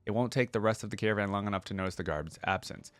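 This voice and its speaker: Male host, lowish voice, dry close sound; relaxed colloquial delivery for lore reading and casual commentary. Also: racing, dry recording. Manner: laid-back, colloquial fan talk. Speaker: reaction video host reading lore aloud.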